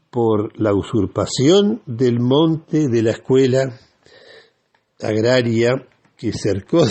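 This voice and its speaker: Male Argentine radio commentator: husky, unhurried delivery, emphatic and opinionated, deliberate phrasing. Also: mid-range voice, dark tone. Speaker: radio host.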